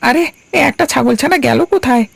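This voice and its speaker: A woman's voice: high voice, animated, brisk, creaky, no echo, exaggerated voice. A woman voicing a chatty young goatherd calling out to the animals in a cartoon. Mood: worried. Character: young goatherd, drowsy then worried, chatty. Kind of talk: cartoon dubbing.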